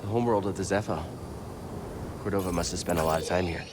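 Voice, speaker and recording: A man's voice: low voice, quick pace, background noise, lightly acted. A man playing an earnest, hopeful young Jedi adventurer in a video game, speaking in a sincere, understated way. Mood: thoughtful, concerned. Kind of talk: video game cutscene acting. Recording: music over the voice.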